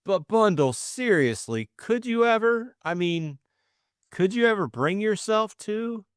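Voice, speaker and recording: A man's voice: high-pitched, expressive, measured pace, smooth, dry recording, clean studio sound, pitched above the performer's own voice. A man voicing a flustered, lovestruck young Englishman, stammering and eager. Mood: earnest pleading.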